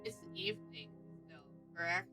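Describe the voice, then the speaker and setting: A woman's voice: lowish voice, moderate pace, sharp tone, soft background music. A woman chatting casually as a player in a tabletop roleplaying game.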